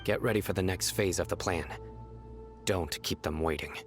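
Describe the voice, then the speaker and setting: Guy doing a serious composed commander type in a video game, low voice, faint music under. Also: moderate pace, natural voice. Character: stern, composed male officer of authority. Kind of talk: video game cutscene acting.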